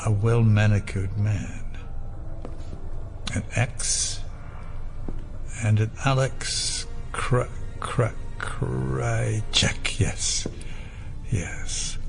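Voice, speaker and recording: Older male villain, audio drama: weary, brooding schemer, very slow and gravelly, music underneath. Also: lowish voice, full character voice. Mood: whispered musing. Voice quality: gravelly, breathy at times. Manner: halting, brooding, musing.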